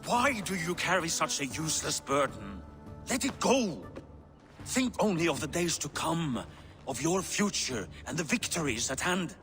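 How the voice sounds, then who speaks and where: An actor playing an older Viking king who speaks in a measured, persuasive, lordly manner. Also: a little high, very animated, a bit bright, exaggerated voice. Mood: stern. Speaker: game character. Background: game score music.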